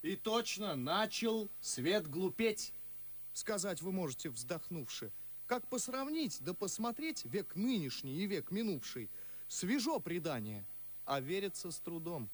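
Young russian freethinker in a verse radio play, high voice, really lively, biting. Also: male voice, measured pace, heavily acted. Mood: sardonic. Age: young adult. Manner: impassioned, biting, declamatory. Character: fiery, sardonic young nobleman, outspoken freethinker. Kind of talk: theatrical verse radio drama.

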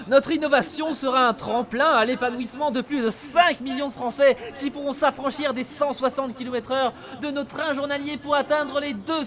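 A man's voice: very high-pitched, fairly bright tone. A man playing an overconfident, exalted young engineer in a comic audio drama, declaiming in a pompous, theatrical way.

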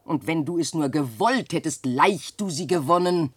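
A woman's voice: low-pitched, moderate pace, breathy edge, no echo. An actress playing an old peasant widow and mother who scolds and laments in declamatory verse in a radio drama. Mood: reproachful, wistful. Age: older. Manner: declamatory, nagging and wailing, dramatic swings.

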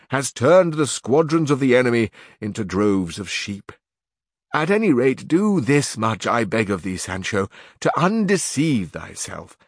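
A man's voice: lowish voice, no room sound. A man doing a solemn deluded old knight. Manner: slow, archaic, lofty speech. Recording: dry close recording.

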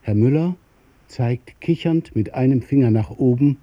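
A man narrating a radio play in a measured, literary way. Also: mid-range voice, highs cut off, natural voice.

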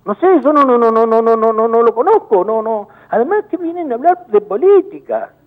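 A high-pitched Argentine man on a tinny phone line, heated and indignant. He stumbles, repeats words in quick bursts and pushes his point hard. He comes across as a business chamber director defending himself in a call-in interview. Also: expressive. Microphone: telephone.

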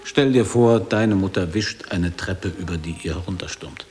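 Male actor playing probing visiting man, low voice, declamatory, deliberate, literary radio drama, long reverb. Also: darkish tone, lightly acted. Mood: lecturing. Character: probing former boarding school pupil, a man back visiting. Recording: long hall reverb, audible background noise.

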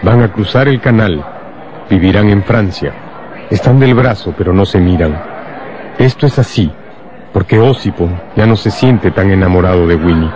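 A man's voice: deep voice, normal speed, a little mellow, some chatter behind. A man narrating a literary radio drama from a script. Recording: faint music behind the voice.

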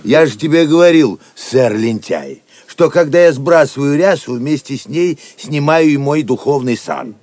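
An actor playing an irreverent, grumbling but jolly hermit friar, blustery and joking in an audio drama. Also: male voice, on the high side, lively intonation, normal speed, few pauses, a little mellow, no echo, natural voice. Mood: jovial grumbling.

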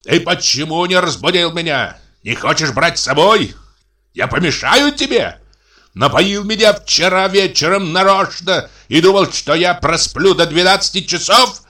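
A furious, spiteful retired captain, as a male narrator plays him in a story. The voice is a high, piercing tenor that scolds and jeers, swinging sharply up and down. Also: animated, measured pace. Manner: shouting, scolding, jeering. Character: furious spiteful brother, shrill retired navy captain, scandalmonger.

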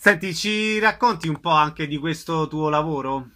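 A man's voice: a little high, moderate pace, sharp tone. A man hosting a conversational arts interview on the radio.

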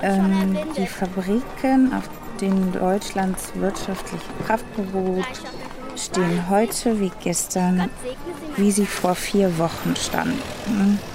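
A playful young thief in a radio play. She speaks slowly in a medium-pitched voice, cocky and easygoing, with music behind her. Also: steady tone. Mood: curious, halting. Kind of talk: German radio drama acting.

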